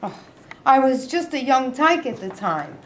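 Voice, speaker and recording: A woman's voice: high voice, sing-song, measured pace, slight background noise. A woman playing a pushy male newspaper reporter in a staged reading, asking probing questions. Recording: echoey room.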